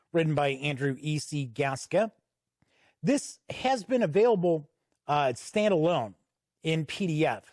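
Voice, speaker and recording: A man's voice: fairly high pitch, a little mellow, no echo, clean studio sound. A man giving a casual, conversational product review as host, explaining things step by step and leaning on key words. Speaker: tabletop game reviewer and host. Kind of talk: casual review commentary, paging through a boxed game.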